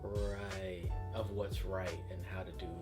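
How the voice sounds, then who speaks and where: A man's voice: low voice, level tone, slow pace, over loud music. A man co-hosting a reaction show, reacting in short, casual remarks.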